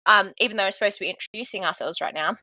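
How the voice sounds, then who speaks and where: A woman's voice: mid-range voice, racing, pushed and bright, no echo, rough recording. A woman from Western Australia with an Australian accent, co-hosting a podcast, rambling and self-deprecating. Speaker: podcast co-host. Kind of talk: casual chat.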